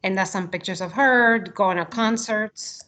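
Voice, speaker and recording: A woman's voice: medium pitch, a little slow, sharp tone. Chatty Argentinian woman on a video call. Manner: chatty, frequent ums, giggly. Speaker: employee presenting to coworkers. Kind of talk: casual video call presentation.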